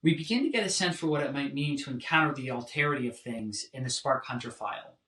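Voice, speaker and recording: A man giving a scripted philosophy lecture in a measured, deliberate way. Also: mid-range voice, pushed and bright. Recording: roomy echo, distant from mic.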